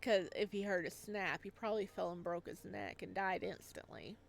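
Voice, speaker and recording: A woman giving informal let's play commentary, reacting excitably and thinking out loud as she goes. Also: mid-range voice, normal speed, gravelly. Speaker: gaming video creator playing a visual novel. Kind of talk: let's play commentary, reading dialogue aloud.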